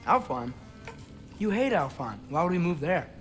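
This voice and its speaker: A man's voice: fairly high pitch, sing-song, moderate pace, soft background music. A male actor playing a curious, eager teenage son in a video game, casual and full of questions. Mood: casual, puzzled.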